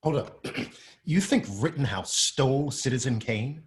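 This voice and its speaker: A man's voice: mid-range voice, unhurried, natural voice. A man playing an incredulous, enthusiastic undercover team member in a drama table read. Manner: incredulous, animated.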